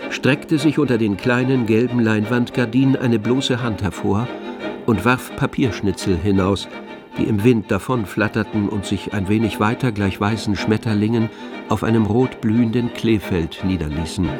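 A man reading scripted literary narration as the narrator of a radio play. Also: on the low side. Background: music, with violin.

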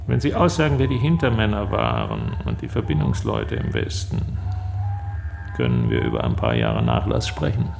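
A man playing a smug, menacing East German secret police interrogator in a radio drama, speaking in a deliberate, officious, coldly threatening way. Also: rumbling low, lively intonation, gravelly, loud music behind the voice, exaggerated voice. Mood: threatening, coaxing.

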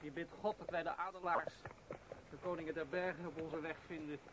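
An actor playing a firm, mind-reading traveler and wolf's master in a radio drama. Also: male voice, on the high side, steady tone, brisk, close to an everyday voice. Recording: clear background noise.

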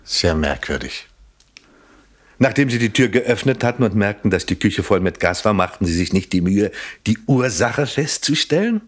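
An actor playing a shrewd, persistent police inspector in a German radio crime drama, speaking formally and deliberately as he probes. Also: on the low side, lively intonation. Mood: pointed, accusing. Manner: probing, formal, pointedly deliberate.

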